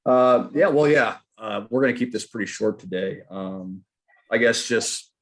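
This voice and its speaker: A man's voice: on the low side, steady tone, a little slow. A man hosting a sports podcast, asking long, wordy questions in a casual interview. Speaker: podcast host, sports reporter.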